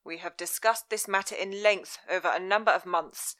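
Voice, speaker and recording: A woman voicing a calm, firm middle-aged Victorian father in measured tones. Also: lowish voice, close to an everyday voice. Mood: stern.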